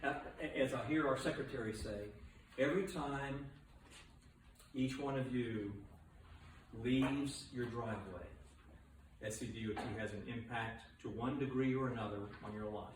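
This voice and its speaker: A man's voice: mid-range voice, normal speed. A man from a state transportation agency answering questions at a public meeting, often stopping to repeat words. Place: large meeting room. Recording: echoey room, distant mic, noisy.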